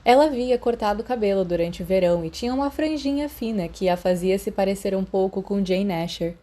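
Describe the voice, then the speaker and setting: Mellow-voiced Brazilian woman narrating fiction. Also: mid-range voice, fairly quick. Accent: Brazilian Portuguese. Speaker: audiobook narrator.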